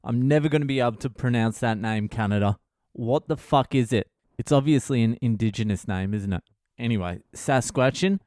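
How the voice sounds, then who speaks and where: A man's voice: mid-range voice, fairly quick, a little mellow, no room sound, studio quality. A man, a comedian hosting his own podcast, tells a story in a crude, profane way with laughing asides. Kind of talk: comic storytelling monologue.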